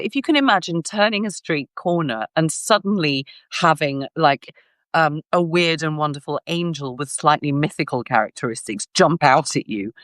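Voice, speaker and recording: A woman from Greece, a guest scholar, talking in a casual interview in an animated, emphatic way, often restarting her sentences. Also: deep voice, dry recording.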